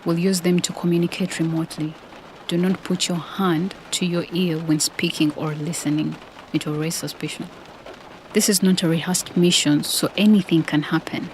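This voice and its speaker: A woman's voice: on the low side, steady tone, moderate pace, slight background noise, lightly acted. A woman playing a cool, commanding leader of a covert mission in an audio drama. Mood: commanding, grim. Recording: music, rain and vehicle sound behind.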